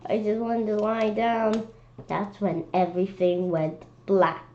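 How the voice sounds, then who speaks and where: Woman, children's read-aloud, animated and exclamatory, medium pitch, muffled lo-fi sound, stumbles while reading. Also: slow. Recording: dull lo-fi sound with clear background noise.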